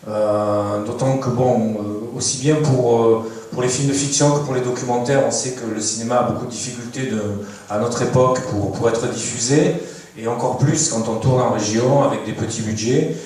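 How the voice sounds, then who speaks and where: A man's voice: medium pitch, steady tone, normal speed, a little mellow. A man hosting a film festival evening, introducing guests with many hesitant fillers. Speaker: festival host. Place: cinema hall. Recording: echoey hall, distant mic.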